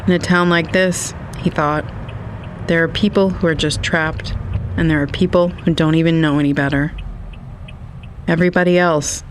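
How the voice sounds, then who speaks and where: A man reading scripted literary narration for an audio drama in a measured, wistful manner. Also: a little high, steady tone, a little hiss or hum.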